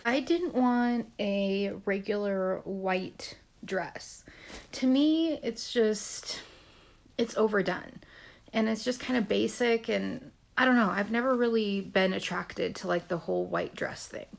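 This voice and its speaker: A woman's voice: mid-range voice, slow pace, vocal fry. A young woman chatting casually in a home vlog, a bit nasal as she gets over a cold, rambling with lots of fillers. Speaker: vlogger. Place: small bedroom indoors.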